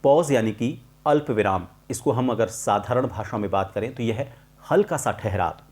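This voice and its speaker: Middle-aged man teaching, medium-pitched voice. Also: lively intonation, normal speed, darkish tone. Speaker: voice-over artist and voice coach hosting a tutorial. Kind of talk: explanatory video lesson addressed to viewers.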